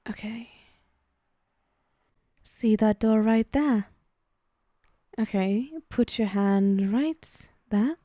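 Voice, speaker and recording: Woman acting as a female demon, possessive, teasing menace, mellow hushed tone, unhurried delivery, close dry sound, ASMR roleplay. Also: medium pitch, dry recording. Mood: possessive, reassuring. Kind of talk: ASMR roleplay, addressing the listener.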